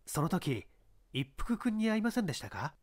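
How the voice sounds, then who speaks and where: Male reader as male detective, composed, polite, measured, persistent questioning, audiobook drama. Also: high-pitched, sing-song, voice pushed down. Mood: inquisitive.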